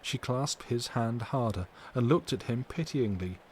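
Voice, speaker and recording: A man reads literary narration in a measured, dramatic style. His pitch is average for a man. The recording carries faint steady noise. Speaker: audiobook reader, streamer. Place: live stream from indoors.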